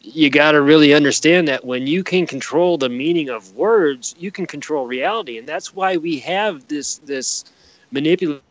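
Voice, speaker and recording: A man's voice: fairly high pitch, normal speed, dry recording. A man from the United States talking casually as a podcast guest over a lo-fi connection. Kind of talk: casual interview talk.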